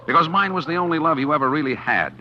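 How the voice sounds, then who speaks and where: An actor playing a bitter, scheming estranged husband in a 1940s radio mystery, speaking in a halting, brooding way. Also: male voice, on the high side, animated, a little hiss or hum, close to an everyday voice. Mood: bitter, needling. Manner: hesitant, brooding, with halting repeated words. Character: bitter, scheming estranged husband narrating his own tale. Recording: dull 1940s radio recording with music.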